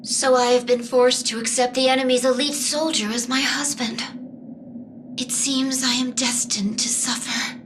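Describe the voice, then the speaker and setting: An actress playing a proud, dutiful young warrior princess with formal, earnest delivery in a video game drama. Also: female voice, high-pitched, steady tone, normal speed, fairly bright tone, slight background noise. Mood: bitter, resolute.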